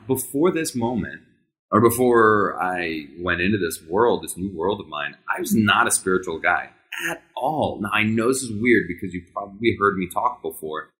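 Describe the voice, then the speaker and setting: A man's voice: on the low side, bright, forceful tone. A man in his thirties whose first language is Hebrew, hosting a podcast and telling a personal story in a candid, conversational way. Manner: candid, conversational, tempo shifts between rushed and drawn out. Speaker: podcast host.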